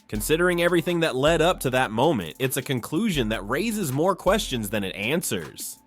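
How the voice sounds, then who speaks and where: Lively scripted male narrator over music. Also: medium pitch. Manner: measured, explanatory. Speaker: narrator of a breakdown video.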